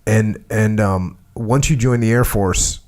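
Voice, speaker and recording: A male podcast host with a fairly low voice asks his guest straightforward questions. He keeps a patient, plain-spoken manner, letting the answers come. The talk is easy and conversational. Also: slow pace, darkish tone. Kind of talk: interview questions.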